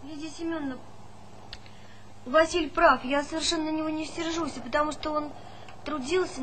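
A woman's voice: sits high, bright, forceful tone. An actress playing a meek, apologetic young village girl, contrite and earnest, in a Soviet stage comedy. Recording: faintly noisy 1970s theatre recording.

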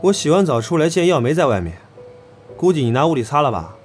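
A man's voice: fairly high pitch, sing-song, fast, faint music behind. A man playing a teasing, flippant young idol in a Chinese audio drama, talking in playful banter. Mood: teasing, casual.

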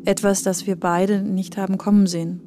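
A woman's voice: mid-range voice, flat delivery, normal speed, noisy background. A woman reading a scripted first-person narration, as in a radio feature.

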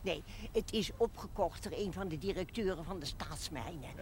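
A woman's voice: fairly low pitch, lively intonation, normal speed, crowd noise, natural voice. A woman playing a chatty, devout, prickly old village woman in a television drama. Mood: chatty delight. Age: older. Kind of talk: television period drama acting.

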